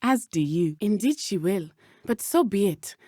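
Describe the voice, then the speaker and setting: A young woman playing a brave, principled teenage witch student in a video game, with formal, measured phrasing. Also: mid-range voice, lively intonation, a little mellow, dry recording, studio quality. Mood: calm, friendly.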